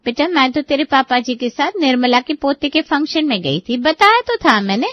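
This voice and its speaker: A woman's voice: high voice, animated, normal speed, dry recording. A woman voicing a nagging, suspicious middle-aged mother-in-law who scolds and insinuates. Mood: defensive. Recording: dull lo-fi recording.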